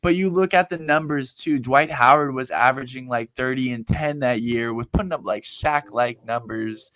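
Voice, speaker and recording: A man's voice: mid-range voice, moderate pace, fairly bright tone, dry recording. Eager young man, dry close sound. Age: 20s. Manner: eager, builds arguments step by step. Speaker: podcast co-host. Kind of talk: casual sports chat.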